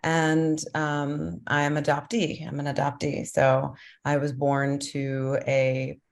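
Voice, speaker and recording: A woman from Colombia with a very deep voice talks about her film in a panel. She speaks thoughtfully, pausing and restarting her sentences. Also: monotone. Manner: thoughtful, many ums and restarts. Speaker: documentary director. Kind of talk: panel conversation.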